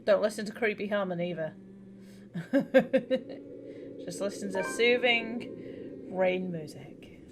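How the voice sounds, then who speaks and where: A woman's voice: medium pitch, takes it slowly, long pauses, over music. A woman doing casual live game commentary, chatting to her viewers in an informal, rambling way. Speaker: live streamer. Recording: steady audible background noise.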